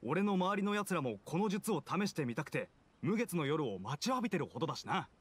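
Game bit part: gossipy young townsman, slightly high voice, lively intonation, confiding. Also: fairly quick, a little hiss or hum. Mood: knowing, conspiratorial. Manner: confiding, explanatory, casual masculine speech. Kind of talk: scripted video game voice acting.

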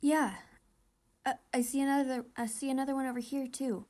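A woman voicing teenage anime boys from a fan comic, casual, sweet and a little halting. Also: sits high, fairly even intonation, darkish tone, lightly acted. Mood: shy, soft.

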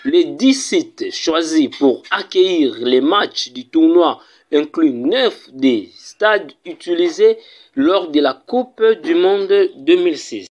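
A man narrating a voice-over as the channel's narrator, reading a numbered list slowly and carefully. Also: fairly high pitch, expressive, highs cut off.

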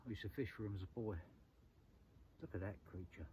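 A man's voice: fairly low pitch, fairly quick. A middle-aged man chatting casually to camera by a lake, repeating little phrases. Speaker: angler vlogger. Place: outdoors by a lake at night and dawn. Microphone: clip-on lapel mic. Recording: lo-fi outdoor recording with clear background noise.

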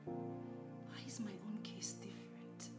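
Lady playing a fed-up wife, very high voice, echoey room, music playing. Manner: shrill scolding, then whispered praying. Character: exasperated wife fed up with her husband.

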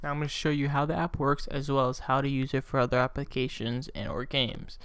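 A young man casually narrating a software tutorial as its host, close on a desktop microphone. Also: mid-range voice, steady tone, a little fast, clear, resonant tone, no room sound. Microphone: close USB desktop microphone.

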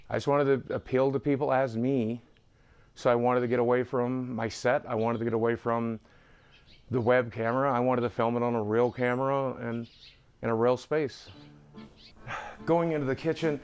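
A middle-aged man speaks casually to camera with music playing behind him. His voice sits at an ordinary male pitch. He comes across as candid and self-deprecating, like a video creator walking viewers through his home. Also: a little mellow. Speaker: online video creator giving a house tour. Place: rooms of a house, indoors. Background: background music.

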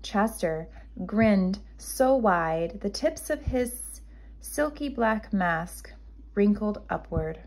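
Slow-paced woman reading a storybook. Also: medium pitch, lively intonation, a little mellow. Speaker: kindergarten teacher. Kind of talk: read-aloud storybook narration.

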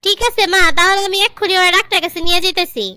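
A woman's voice: very high-pitched, steady tone, very fast, pushed and bright, no room sound, clean studio sound. A woman voicing a pampered young married daughter in a comedy cartoon, girlish and coaxing, with a Bangladeshi accent. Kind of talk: comedy cartoon dialogue in colloquial dialect.

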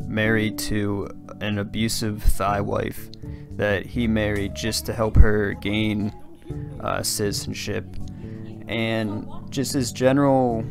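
A man narrates a scripted commentary in a low, gravelly voice. He keeps his delivery flat and measured, with music playing clearly underneath. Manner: measured, even-toned commentary. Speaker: video essay narrator. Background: background music.

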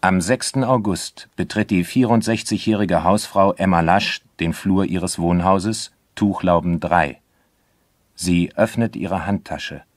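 An actor as a matter-of-fact crime narrator, reading scripted documentary narration in a measured, formal manner. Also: male voice, low voice. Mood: sober, measured.

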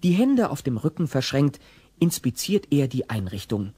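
A man with a husky voice narrating a scripted radio play. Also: mid-range voice, sing-song, normal speed, mellow, no room sound.

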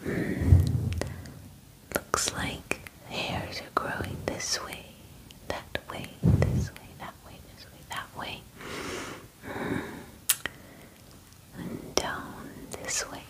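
A woman in her twenties playing a caring werewolf-spa groomer in a gentle, soothing ASMR roleplay, close to the mic. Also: extremely slow, long pauses. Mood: soothing, attentive. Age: 20s. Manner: gentle, soothing, softly reassuring. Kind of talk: whispered ASMR personal-attention roleplay. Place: small dim indoor room. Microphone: close mic, face near the camera.

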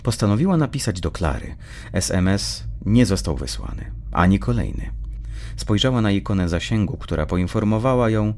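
A man narrating dark fiction from a script in a measured, suspenseful, dramatic storyteller's manner. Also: deep voice, creaky, a little hiss or hum.

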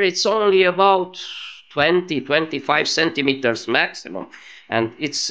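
A man giving a casual, improvised demonstration as a hobbyist presenter, halting as he searches for words, recorded on a phone at an indoor workbench. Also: medium pitch, fairly bright tone. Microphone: phone held over the workbench.

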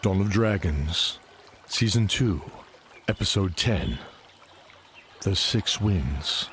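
A man narrating a scripted fantasy drama in a measured, deliberate, dramatic way. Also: low voice, expressive, a little hiss or hum.

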